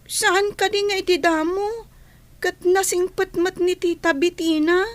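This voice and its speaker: An actress voicing a fearful young daughter who speaks softly and pleadingly, in a radio drama. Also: female voice, very high voice, steady tone, measured pace, no room sound. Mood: worried.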